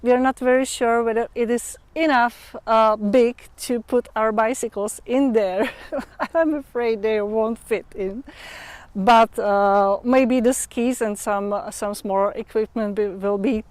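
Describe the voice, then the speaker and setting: A young adult woman with a Czech accent, a non-native English speaker hosting a casual livestream and often pausing to search for words. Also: fairly high pitch, steady tone, darkish tone, no echo. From Czech Republic. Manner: hesitant, searching for English words with many fillers. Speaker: livestream host. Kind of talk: casual livestream chat with viewers.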